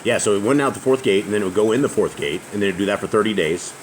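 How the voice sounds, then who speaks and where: Host for home bible study: very deep, booming man reading aloud, faint outdoor noise behind. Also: low-quality recording. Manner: loud booming voice, reads aloud with pauses. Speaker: host leading a family scripture reading. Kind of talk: casual group Bible study. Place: house open to the outside, noisy roof. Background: faint outdoor ambience.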